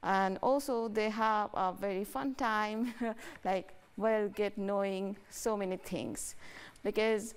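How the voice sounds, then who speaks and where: A young woman from Bangladesh speaks as a student guest in a television studio. Her pitch sits in the middle range. She explains things earnestly in loosely built sentences. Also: steady tone, slow, smooth. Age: young adult. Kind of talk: TV studio interview. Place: TV news studio.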